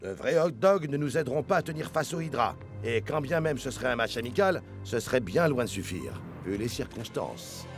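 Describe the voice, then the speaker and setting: Un comédien qui joue un coach de football sérieux et autoritaire dans un dessin animé. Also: male voice, mid-range voice, lively intonation, normal speed, hardly any pauses, lightly acted. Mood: serious. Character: earnest, commanding male football team coach. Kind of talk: animated cartoon dub. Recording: music behind the voice.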